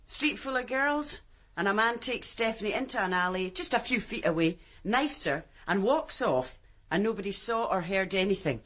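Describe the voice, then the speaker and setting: An actress playing a firm, probing police detective in a radio drama, with direct, clipped questioning. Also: female voice, mid-range voice, expressive, normal speed, a bit bright. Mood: pressing, stern. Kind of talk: naturalistic radio drama acting.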